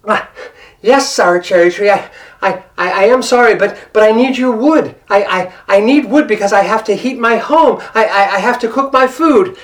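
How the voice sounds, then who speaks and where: A woman's voice: a little high, moderate pace, lightly acted. A woman storyteller playing a timid, apologetic woodcutter who stammers and hesitates. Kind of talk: live folktale storytelling.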